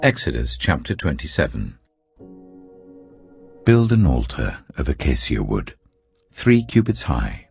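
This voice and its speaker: A man reading scripture aloud in a measured, deliberate way. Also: very deep voice, sing-song. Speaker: Bible reader. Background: soft piano music.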